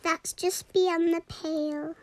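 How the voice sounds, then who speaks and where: A young girl reading a rhyming children's storybook aloud in a deliberate, expressive way. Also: very high voice. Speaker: story reader.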